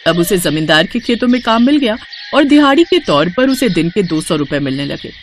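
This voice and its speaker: A woman's voice: medium pitch, very animated, brisk, slight background noise. A woman reading a scripted moral story as a storyteller. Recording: music under the voice. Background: background music.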